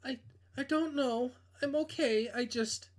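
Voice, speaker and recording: Man doing an anxious young woman, pitched up. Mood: shaken. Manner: hesitant, halting, brushing things off. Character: anxious, self-conscious young woman on the phone. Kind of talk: visual novel character voice.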